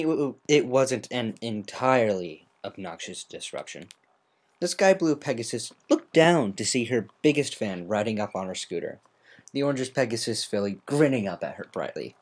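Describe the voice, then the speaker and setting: A woman's voice: a bass voice, darkish tone. A woman narrating fan fiction as an amateur, in a semi-dramatic storytelling style.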